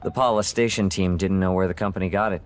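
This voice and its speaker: An actor playing a curious, rambling scientist in a video game, explaining things in a hesitant, musing way. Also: male voice, sits low, fairly even intonation, quick pace, lightly acted. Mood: explanatory. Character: male scientist doctor, curious, rambling, awed by alien technology. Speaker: scientist briefing a military team.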